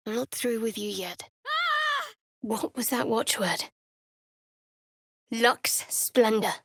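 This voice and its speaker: An actress playing a guarded, sardonic young female cleric of a dark goddess in a fantasy video game, speaking in a measured, dryly wry way. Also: fairly high pitch, huge pitch swings, no echo, clean studio sound, exaggerated voice. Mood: fierce, commanding. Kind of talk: video game voice lines, dramatic fantasy acting.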